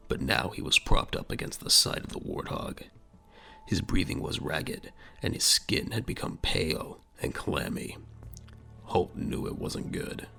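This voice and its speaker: A man's voice: rumbling low, creaky, a bit bright. A man delivering dramatic scripted narration for an audio drama.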